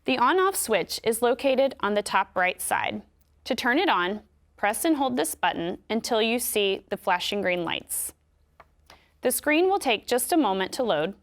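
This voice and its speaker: A woman with an American accent giving scripted instructions in a clear, measured way. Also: medium pitch. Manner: clear, measured explanatory delivery. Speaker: instructional video narrator.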